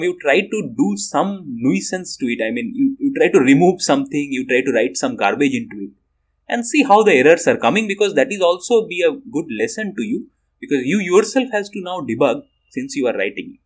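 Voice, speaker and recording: A middle-aged man with an Indian English accent, giving a recorded university programming lecture and often restarting his sentences. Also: brisk. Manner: rambling with restarts, lively rising and falling pitch.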